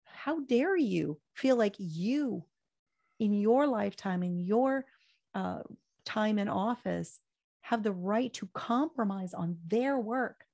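An older woman hosting a political talk radio show, hoarse and speaking with fiery, preachy conviction before a chatty sign-off. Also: mid-range voice, animated, slow, darkish tone.

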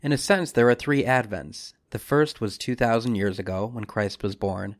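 A man speaking as a priest, leading a scripted devotional meditation with measured, solemn phrasing and deliberate pauses. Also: on the low side, no room sound, clean studio sound.

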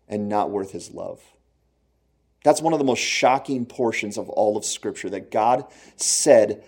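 A man giving a sermon with a mellow, warm voice. He talks to the congregation in an earnest, conversational way and slows right down to stress the key phrases. Now and then he chuckles at his own jokes. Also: medium pitch. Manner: earnest, conversational preaching with slow emphatic phrases. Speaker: pastor.

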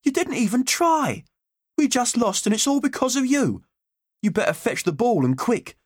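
A man voicing a bossy, accusing schoolboy with a loud, scolding manner in an audiobook story. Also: very high-pitched, very animated, moderate pace, husky, dry recording, studio quality, voice pushed up, full character voice. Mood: angry, accusing.

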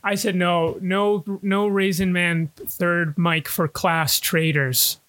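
A young man co-hosting a podcast in casual chat, with a high-pitched voice and a loose, joking way of talking. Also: steady tone, slow, a bit bright. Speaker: podcast co-host. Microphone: own home recording setup.

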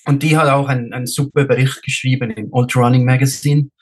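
A man from Switzerland speaks as a guest in a relaxed podcast conversation. He has a middle-pitched voice and tends to repeat words as he feels his way through a sentence, now and then slipping in English. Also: fairly quick, husky. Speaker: race director, interview guest. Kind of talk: casual podcast interview.

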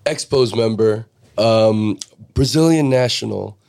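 A man hosting a casual podcast from a backyard, hoarse from cheering, brash and teasing, swearing often and laughing a lot. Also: fairly low pitch, slow, a little mellow. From Korean heritage. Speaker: podcast host. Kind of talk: profane casual podcast chat.

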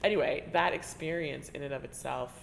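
A woman interviewing a colleague in a relaxed, chatty way, often trailing off and restarting and laughing as she talks. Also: sits low, fairly quick, gravelly, slight background noise. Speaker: interviewer, teaching artist. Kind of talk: conversational interview. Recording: reverberant room with faint ambience.

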